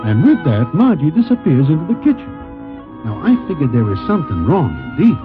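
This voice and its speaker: A man's voice: on the high side, wildly swooping pitch, brisk, over loud music. An actor playing a genial, wry Broadway guy who tells gangster tales in formal slang, in 1940s radio style. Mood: wry storytelling. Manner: stiffly formal slang, avoids contractions. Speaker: narrator and lead character. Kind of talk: 1940s radio acting, slangy first-person storytelling. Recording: old 1940s radio recording, thin phone-like sound.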